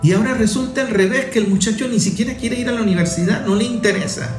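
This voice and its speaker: A man with a Venezuelan Spanish accent speaks as a journalist host, building his points through emphatic, repeated phrases. His voice sits a little higher than most men's. It carries a roomy echo with faint music underneath. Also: fast talker, a little mellow. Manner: emphatic, repetitive rhetorical phrasing. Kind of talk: political opinion commentary.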